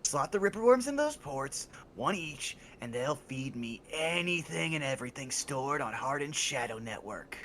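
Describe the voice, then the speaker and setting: A man voicing a furtive, eager male street contact in a video game, speaking in quick, conspiratorial bursts. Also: mid-range voice, big pitch swings, a bit bright, slight background noise. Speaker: co-player reading character lines.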